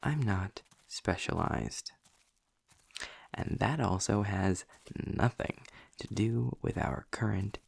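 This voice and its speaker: A man's voice: a little mellow, no echo, full character voice. A man playing a snarky, impatient male demon in an audio roleplay, speaking to the listener with theatrical, sardonic condescension. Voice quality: low, gravelly, husky with breathy drops. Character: snarky, impatient male demon trapped in his own hell.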